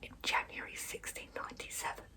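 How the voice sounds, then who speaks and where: A woman whispering a true crime story as an ASMR host, hushed throughout. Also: a little fast.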